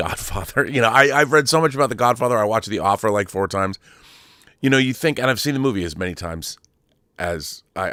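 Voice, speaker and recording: Low-voiced man, rambling film host. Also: fairly bright tone, dry recording, clean studio sound. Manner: rambling, self-correcting, emphatic storytelling. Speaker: host of a film discussion channel. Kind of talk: casual unscripted monologue to viewers.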